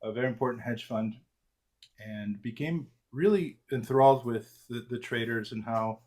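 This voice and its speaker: A man in his forties, born in Argentina, answering interview questions in a relaxed, self-deprecating way with many fillers and restarts. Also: mid-range voice, moderate pace. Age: 40s. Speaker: trader, interview guest.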